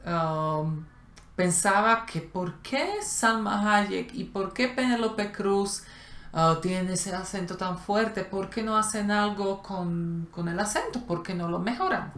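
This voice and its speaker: A woman co-hosting a casual conversation, telling stories with pauses and restarts. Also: medium pitch, a bit bright. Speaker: co-host of a language channel. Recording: roomy echo.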